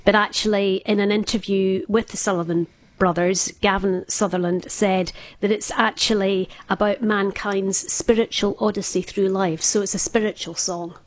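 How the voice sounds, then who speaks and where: A woman's voice: mid-range voice, steady tone, moderate pace, dry recording. A Scottish woman in her fifties, a radio guest telling a personal story in casual chat, hesitant, with frequent small laughs. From Scotland.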